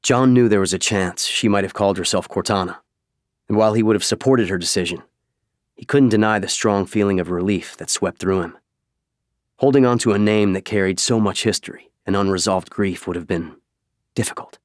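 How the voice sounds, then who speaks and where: Low-pitched male audiobook narrator. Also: fairly quick, no echo, clean studio sound. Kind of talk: scripted fiction narration.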